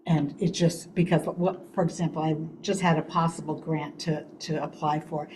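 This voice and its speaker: A woman giving a loud, chatty update on senior events at a city committee meeting. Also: low-pitched, level tone, moderate pace, roomy sound, background noise. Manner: loud, chatty, laughs often. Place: city hall meeting room.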